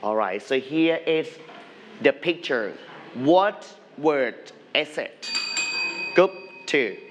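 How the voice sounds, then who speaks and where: A male English teacher in a studio classroom, slowly leading a televised lesson and having students repeat words after him. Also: mid-range voice, expressive, muffled recording, slight background noise.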